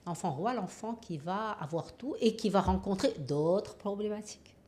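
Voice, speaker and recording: A woman hosting a podcast interview, speaking in a measured, hesitant way with frequent fillers. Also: mid-range voice, lively intonation, a little mellow. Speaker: podcast host.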